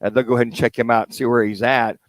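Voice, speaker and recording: A man's voice: mid-range voice, flat delivery, racing, no echo. An American man co-hosting a sports radio show, giving casual, conversational analysis. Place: live remote radio broadcast at a car dealership.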